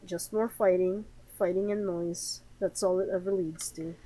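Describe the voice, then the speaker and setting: A woman game streamer giving playthrough commentary and reading game text aloud, with expressive, sarcastic reactions. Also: on the low side, moderate pace, slight background noise, rough recording.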